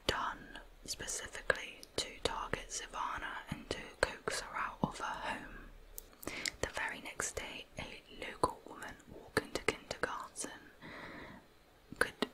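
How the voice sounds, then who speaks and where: A woman narrates true crime as ASMR, whispering throughout. Her voice is breathy and unvoiced, never rising into normal speech. She tells the story in a hushed, measured way. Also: poor audio.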